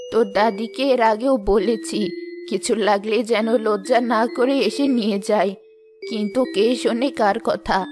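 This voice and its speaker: Woman as careworn village grandmother, highish voice, affectionate, gently scolding, cartoon dialogue, music behind. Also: close to an everyday voice. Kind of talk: animated moral story dialogue.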